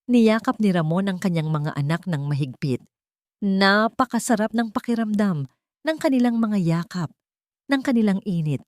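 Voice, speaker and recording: A woman narrating a scripted family drama in an earnest, sentimental way, in short deliberate phrases. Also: low-pitched, darkish tone, no room sound, clean studio sound.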